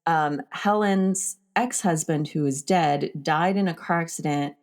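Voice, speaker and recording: Woman podcast host w a low voice, chatting casually, candid and informal. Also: unhurried, darkish tone. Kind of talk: casual film discussion chat.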